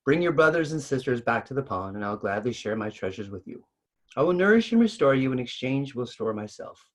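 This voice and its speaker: A man voicing a vain, selfish male pond spirit in a storybook, theatrical and quick to take offence. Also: mid-range voice, very animated. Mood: anguished remorse. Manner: theatrical, indignant, ranting. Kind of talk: storybook read-aloud.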